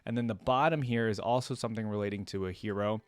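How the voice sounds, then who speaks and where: A man's voice: lowish voice, quick pace, clear, resonant tone, no echo. A man hosting a reaction video, giving casual, rambling commentary as he thinks aloud and checks in with the viewer. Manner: rambling, thinking aloud, seeks agreement with "right?".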